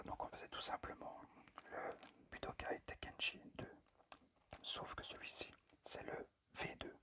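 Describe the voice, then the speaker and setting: A man whispers in a breathy, halting, apologetic way, presenting items in an amateur ASMR style. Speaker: amateur ASMR video creator.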